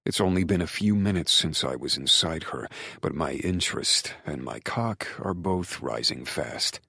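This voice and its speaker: A man performs a commanding romance hero for an audiobook, with a very deep voice and a dry, close sound. He is possessive yet devoted, speaking in a firm, intense, hushed growl. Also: expressive, normal speed, creaky, husky, dry recording, exaggerated voice. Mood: resolute, possessive. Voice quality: low growl. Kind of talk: audiobook romance character acting.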